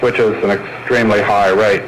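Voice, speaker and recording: Lowish-voiced official, faint noise. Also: male voice, steady tone, moderate pace. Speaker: government economic official. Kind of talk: formal public remarks. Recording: faintly noisy radio news clip.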